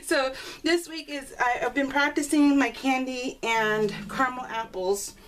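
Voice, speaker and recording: A woman chatting casually and rambling as a craft video host, giggly and self-deprecating, telling stories with animated exclamations. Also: high voice, a little slow. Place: at home, voice recorded live while crafting. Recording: noisy home recording with clicks.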